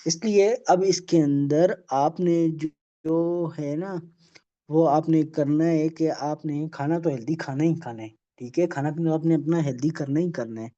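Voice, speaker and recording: A man giving an online health awareness lecture, halting and full of filler phrases, checking in with "okay?" after almost every point. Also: on the high side, dark tone. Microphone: video call. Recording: dull lo-fi video call audio.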